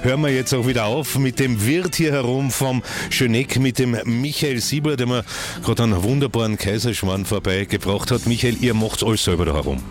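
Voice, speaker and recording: Austrian regional radio host, conversational interviewer, soft music bed. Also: male voice, medium pitch, a little fast. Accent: Austrian German. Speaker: radio presenter. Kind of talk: live radio interview and hosting.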